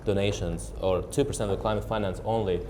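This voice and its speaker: A young man speaking as a panelist, eager and energetic, quick to laugh. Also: lowish voice, background noise. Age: young adult. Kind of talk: panel discussion.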